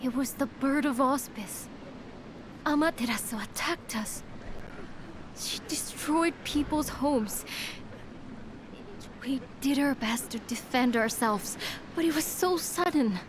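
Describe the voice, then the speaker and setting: A woman's voice: high voice, slow, long pauses, background noise. An actress playing an earnest, distressed townswoman in a fantasy video game. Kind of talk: video game cutscene acting.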